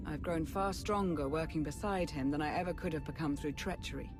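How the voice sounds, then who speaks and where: A woman playing a proud, self-assured Sith apprentice in a video game cutscene, speaking deliberately and formally. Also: low voice, lightly acted. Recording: music behind the voice.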